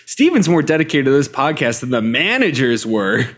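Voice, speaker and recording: A man's voice: mid-range voice, very animated, moderate pace, a bit bright. A man co-hosting a podcast, joking and laughing through his lines. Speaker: podcast co-host. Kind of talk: casual podcast chat.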